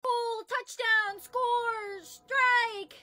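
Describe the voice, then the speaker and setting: A woman's voice: very high voice, fairly even intonation, extremely slow, pushed and bright, exaggerated voice. A woman acting a melodramatic young woman in a comedy skit, overwrought and pleading, with music playing behind her. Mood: playful, pleading. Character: melodramatic young woman caught in party-game drama.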